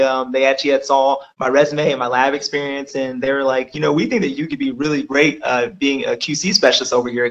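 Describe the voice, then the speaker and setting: A man's voice: mid-range voice, fairly bright tone, poor audio. A young man from Georgia, answering interview questions about his lab job in an upbeat way, with lots of "um" and "like". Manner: upbeat, lots of fillers like "um" and "like", laughs often. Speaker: lab quality control specialist, guest.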